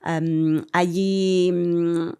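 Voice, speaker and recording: A woman from Italy, a guest in an interview, answering thoughtfully and pausing with hums as she searches for words. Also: on the low side, flat delivery, a little mellow, smooth. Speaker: interview guest, community center director.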